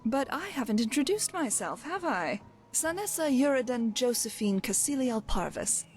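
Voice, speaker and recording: A woman's voice: high-pitched, very animated, normal speed, darkish tone. Actress as female rogue companion for fantasy game: brash, wry, cocky, theatrical delivery, music underneath. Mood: cocky, friendly. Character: brash, wry female thief, undying adventurer. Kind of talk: voiced game dialogue.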